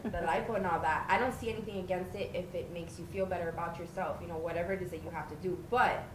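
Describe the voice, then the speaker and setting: A woman's voice: lowish voice, fairly even intonation, fast. A woman in her thirties, a podcast guest, speaking candidly and matter-of-factly in casual chat. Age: 30s. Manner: candid, matter-of-fact storytelling. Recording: echoey room with noticeable background noise.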